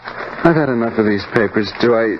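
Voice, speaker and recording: Low-pitched American male villain played by an actor, casual yet threatening, muffled vintage radio thriller. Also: a little hiss or hum, natural voice. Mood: coolly casual. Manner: deliberate, casual, quietly threatening. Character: menacing, coolly taunting male serial killer. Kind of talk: old-time radio suspense drama acting.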